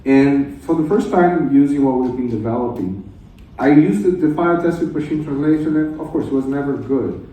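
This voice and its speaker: A man's voice: medium pitch, expressive, normal speed, mellow. Native Portuguese-speaking man, very muffled and echoey. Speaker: conference panelist, translation technology professional. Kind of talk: informal panel talk. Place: indoor conference room. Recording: echoey conference room, distant mic.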